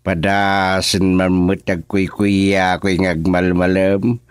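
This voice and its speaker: A man's voice: deep voice, monotone, slow pace, smooth, dry recording, studio quality. A man playing a flustered, put-upon village official in a rural comedy radio drama, stammering and exasperated. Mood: weary.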